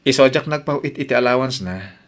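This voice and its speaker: Mid-pitched younger man played by an actor. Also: a little fast, lightly acted. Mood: casual. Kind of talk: radio drama acting.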